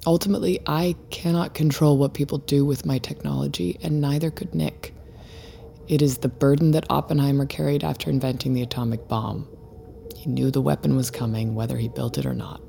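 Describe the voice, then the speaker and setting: An actress playing a grave, resigned technology creator in an audio drama, speaking deliberately and solemnly in a gravelly voice. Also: female voice, rumbling low, fairly even intonation, mellow, a little hiss or hum, exaggerated voice. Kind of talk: naturalistic audio drama acting.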